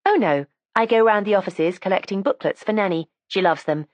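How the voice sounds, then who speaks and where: A woman's voice: medium pitch, fairly quick, dry recording, lightly acted. A woman reading the part of a precocious, matter-of-fact teenage schoolgirl who chats candidly and primly. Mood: earnest. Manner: chatty, candid and prim.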